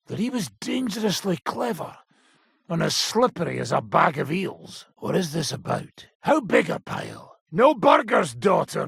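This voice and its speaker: A gruff medieval mercenary chief for a video game. His voice is heavily creaky and very hoarse-edged, swinging widely in pitch. He is blunt, coarse and cynical, swearing freely with a sardonic bite. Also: a bit bright, no room sound, clean studio sound, exaggerated voice. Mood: dry mockery. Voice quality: gravelly, raspy. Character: gruff, foul-mouthed, cynical medieval mercenary band leader. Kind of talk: video game character dialogue.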